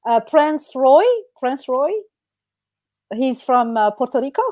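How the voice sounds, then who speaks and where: A woman co-hosting a podcast in casual chat, effusive and expressive in the way she talks. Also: high voice, slow pace. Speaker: podcast co-host.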